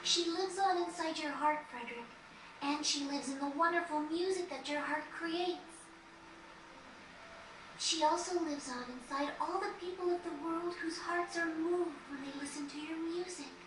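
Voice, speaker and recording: A woman voicing a gentle, accepting fourteen-year-old girl in a fantasy game, speaking sincerely and earnestly to console. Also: extremely high pitch, moderate pace. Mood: tender, accepting. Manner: soft-hearted, sincere, earnest. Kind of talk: dramatic game cutscene dialogue. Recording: distant, echoey, noisy game capture.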